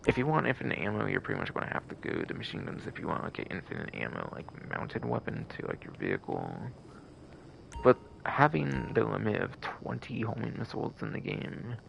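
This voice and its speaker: Man, very gravelly fry, casual game stream commentary, rambling and repetitive, lowish voice, faint background noise. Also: fairly bright tone, low-quality recording. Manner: rambling, repetitive, filler-heavy with frequent "like".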